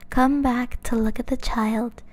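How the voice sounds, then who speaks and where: A woman streamer reading a children's story aloud in a soft, gentle whisper. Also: on the high side, steady tone, unhurried.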